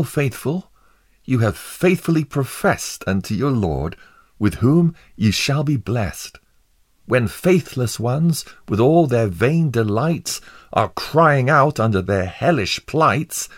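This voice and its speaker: A man's voice: medium pitch, voice pushed up. A man voicing a devout pilgrim in a storybook, fervently declaiming verse in praise of a friend.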